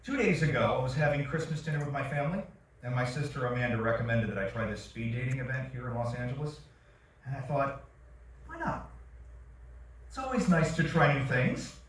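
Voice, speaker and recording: A man's voice: medium pitch, lively intonation, a little fast, lightly acted. A man on stage playing a wry, earnest fiction writer who gets exclamatory and defensive, heard in a very echoey theatre from far off. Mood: wry narration. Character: earnest, sarcastic male fiction writer, exasperated by bad dates. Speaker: actor in a staged reading. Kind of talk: theatrical stage monologue and dialogue. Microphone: far shaky audience camera. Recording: very echoey theatre, distant mic, faint noise.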